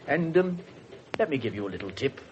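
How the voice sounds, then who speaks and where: An actor with an English accent playing a gruff, needling desk sergeant in a radio drama, blunt and dry. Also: male voice, mid-range voice, very animated, brisk, a little mellow, close to an everyday voice. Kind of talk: 1970s radio drama acting. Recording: muffled, noisy 1970s radio broadcast.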